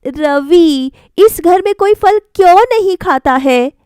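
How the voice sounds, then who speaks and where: A woman's voice: very high voice, lively intonation, slow, a little mellow, no room sound, studio quality, pitched above the performer's own voice, heavily acted. A woman voicing an earnest young daughter-in-law in a storybook, sweetly insistent and coaxing as she questions. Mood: eager, coaxing.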